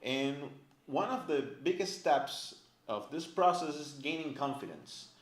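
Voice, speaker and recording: A chatty male teacher explains things in a lively, bouncing voice that sits a little high. He keeps checking in with "right?" and leans on "like". The room around him is echoey. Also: a little slow. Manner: animated, chatty, many fillers like "right?" and "like". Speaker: language teacher. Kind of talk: casual explanatory lesson to camera. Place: indoor room, filmed by a camera operator.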